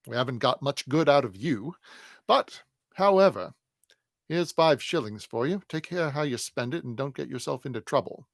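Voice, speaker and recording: A man voicing a shrewd, jovial police detective who talks in a hearty, loud, coaxing way. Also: medium pitch, lively intonation, normal speed, gravelly, no echo, studio quality.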